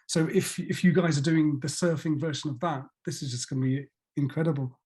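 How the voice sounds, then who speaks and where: Mellow-voiced enthusiastic male host. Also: a little high, steady tone, brisk, breathy edge. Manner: enthusiastic, gushing praise. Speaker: interview host. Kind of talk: casual interview questions.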